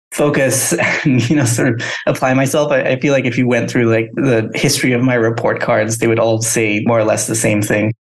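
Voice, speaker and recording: A man from Singapore, a guest on a podcast, telling his story casually and hedging with "you know" and "sort of". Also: medium pitch. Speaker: guest, agency founder.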